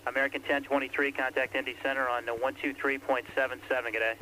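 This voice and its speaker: A man's voice: mid-range voice, little pitch movement, fast talker. A man working as an air traffic controller, calling aircraft in terse, clipped radio phrasing. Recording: archival radio recording with faint hiss.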